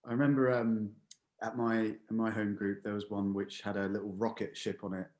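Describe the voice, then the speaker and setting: Casting: young male podcast host, low voice, energetic and friendly delivery. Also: moderate pace. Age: young adult. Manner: energetic, upbeat. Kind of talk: casual podcast chat.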